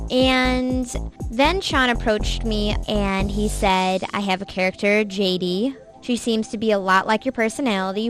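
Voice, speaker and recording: Woman with mid pitched voice telling her story in an interview, music behind. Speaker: voice actress, interviewee. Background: background music.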